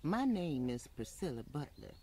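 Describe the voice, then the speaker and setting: A woman's voice: deep voice, very animated, moderate pace, mellow. An actress playing an elderly, plainspoken, dignified Southern housekeeper with a Southern US accent, telling her life story in a folksy radio drama monologue. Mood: reminiscing, wry.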